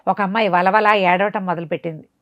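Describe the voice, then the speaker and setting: A woman's voice: mid-range voice, fairly even intonation, quick pace, no room sound, highs cut off. A woman tells a moral tale as an oral storyteller. Her mid-range voice moves between chatty explanation and dramatic telling, with questions tossed to the listener. The sound is dry, with very little room in it. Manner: conversational, dramatic, explains with asides and rhetorical questions.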